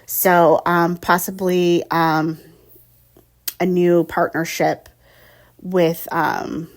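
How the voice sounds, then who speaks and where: A woman with a slightly low voice and the sniffles talks through a tarot reading in a casual, unscripted way. She is blunt and emphatic, swears offhandedly, and keeps checking in with "okay?". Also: flat delivery, extremely slow. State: has the sniffles. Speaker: tarot reader.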